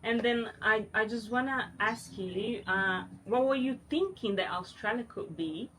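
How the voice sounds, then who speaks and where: A woman's voice: fairly high pitch, a little slow, pushed and bright, slight background noise, rough recording. Curious woman hosting an interview. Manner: curious, laughs often, hesitant phrasing with fillers. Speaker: podcast host. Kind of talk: interviewing a guest.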